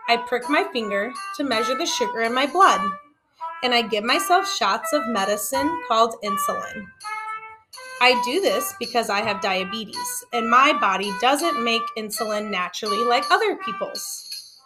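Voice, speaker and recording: A woman reading a children's storybook aloud in a deliberate, expressive way for young listeners. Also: a little high, loud music behind the voice, low-quality recording. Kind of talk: read-aloud storybook narration.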